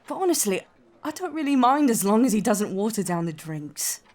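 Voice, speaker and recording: A woman's voice: medium pitch, sing-song, moderate pace, darkish tone, close to an everyday voice. A woman playing a sceptical, down-to-earth blacksmith in a fantasy audio drama, dry and blunt. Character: sceptical, wry female blacksmith, down-to-earth tavern patron.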